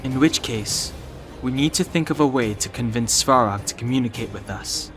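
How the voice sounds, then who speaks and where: Man as reserved young male adventurer, middle pitch, serious, cautious, matter-of-fact, game dialogue, faint music. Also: moderate pace, a bit bright, natural voice. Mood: cool, cautious. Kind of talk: English game voice acting.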